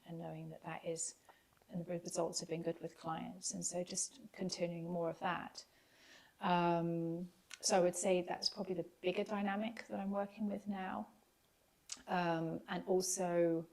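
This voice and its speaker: Gravelly low-voiced woman, reflective. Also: darkish tone, rough recording. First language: English. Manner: thoughtful, unhurried, many pauses and fillers. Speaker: podcast guest. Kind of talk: reflective casual conversation.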